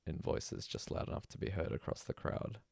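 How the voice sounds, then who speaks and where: A man's voice: fairly quick, a little mellow, dry recording, studio quality. A man reading a scripted bedtime story aloud as a narrator.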